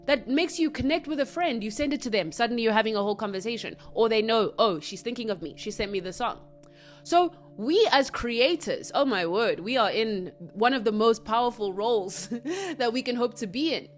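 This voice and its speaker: A woman from Africa, hosting a solo spiritual podcast, earnest and reflective with soft asides. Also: a little high, animated, moderate pace, faint music behind. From South Africa. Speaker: podcast host.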